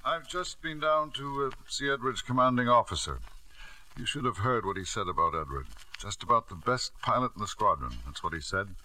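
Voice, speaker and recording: Stubborn wealthy father, forceful bright tone, swooping pitch, insistent, old radio drama. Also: lively intonation, brisk, poor audio. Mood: defensive. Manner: insistent, smooth-talking, pressing. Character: proud, stubborn, wealthy older father, self-justifying. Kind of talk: 1940s-50s radio drama acting.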